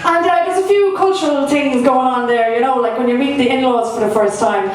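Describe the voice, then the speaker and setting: A young Irish woman does a stand-up set in a club, with a fairly high voice and a loose, self-mocking delivery full of fillers. She sounds far from the microphone, and the room echoes heavily around her. A faint hum of room noise sits underneath. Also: a little fast. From Ireland. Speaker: stand-up comedian. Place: comedy club stage.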